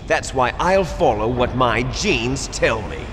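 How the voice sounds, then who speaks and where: Theatrical villain in a reverberant hall. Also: male voice, mid-range voice, lively intonation, takes it slowly, noisy background. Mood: menacing resolve. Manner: theatrical, lecturing, menacing. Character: bitter, fatalistic villain, cloned son of a soldier. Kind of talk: dramatic video game villain monologue. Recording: long echoing hall reverb.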